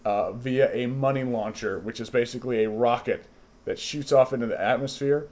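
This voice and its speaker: A man with a middle-pitched voice co-hosts a comedy radio bit, keeping a deadpan tone. He hesitates often and trips over restarts. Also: moderate pace. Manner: hesitant, many fillers and restarts. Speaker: radio show co-host.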